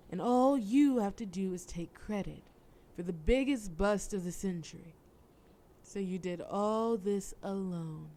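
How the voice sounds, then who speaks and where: Woman acting as a female crime boss, mellow dark tone, cunning, flirtatious, coolly threatening, audio roleplay, noticeable background noise. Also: mid-range voice, close to an everyday voice. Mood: smooth, persuasive. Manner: sultry, teasing, persuasive. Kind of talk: scripted audio roleplay addressed to listener.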